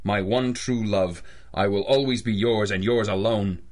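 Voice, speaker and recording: An actor playing a stern, cynical private detective in an old-style radio drama, with clipped, wry, accusing delivery. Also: male voice, fairly low pitch, fairly even intonation, unhurried, a little mellow, close to an everyday voice. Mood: grimly insistent. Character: hard-boiled, cynical adult male private detective, stern and relentless.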